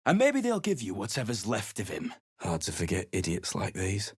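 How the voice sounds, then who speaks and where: A surly, battle-worn mercenary from a fantasy video game,. His voice is low and husky, and his pitch moves a lot. He talks bluntly and brushes people off. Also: wildly swooping pitch, moderate pace, no echo, clean studio sound, exaggerated voice. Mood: gruff. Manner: gruff, blunt, dismissive.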